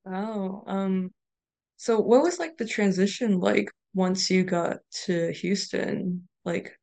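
A woman's voice: medium pitch, slow pace, vocal fry, darkish tone. Easygoing woman podcast host. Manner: easygoing, asks open questions. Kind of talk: podcast hosting. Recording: music playing behind.

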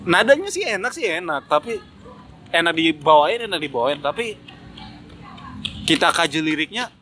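A man's voice: a little high, very animated, moderate pace, sharp tone. A man in a casual, opinionated discussion, speaking emphatically and critically and repeating phrases to drive points home. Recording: noticeable background noise.

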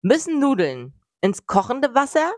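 A woman's voice: high voice, sing-song, unhurried, dry recording, studio quality. A woman with a scratchy voice chatting casually and teasingly as a podcast co-host. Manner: playful, teasing. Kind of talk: casual chat.

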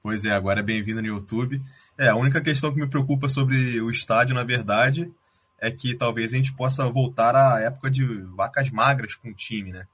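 A man, a podcast guest who lays out his analysis calmly, pausing to find his words. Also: medium pitch, husky. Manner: measured, analytical, with hesitations. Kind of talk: casual podcast chat.

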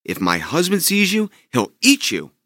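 A man's voice: on the high side, huge pitch swings, moderate pace, sharp tone, dry recording, studio quality, voice pushed up, exaggerated voice. A man voicing a kindly but fretful giant woman in a storybook reading, warning and anxious.

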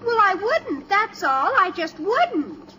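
An American actress playing a whiny, impatient young daughter in an old-time radio comedy. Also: female voice, very high-pitched, very animated, takes it slowly, bright, forceful tone, heavily acted. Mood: stubborn, annoyed. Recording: vintage radio recording, faint noise.

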